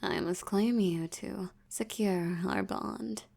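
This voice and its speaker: Woman doing a rich bossy vampire lady, breathy and creaky, super slow, teasing and possessive, intimate roleplay audio. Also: mid-range voice, steady tone, a little mellow, heavily acted. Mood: smug, possessive. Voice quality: breathy, often dropping toward a whisper. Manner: slow, teasing, commanding, condescending. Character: dominant, possessive, seductive wealthy female vampire.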